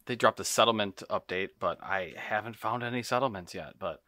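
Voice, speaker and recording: Man, fairly low bright voice, playful casual podcast co-host. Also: brisk, dry recording, studio quality.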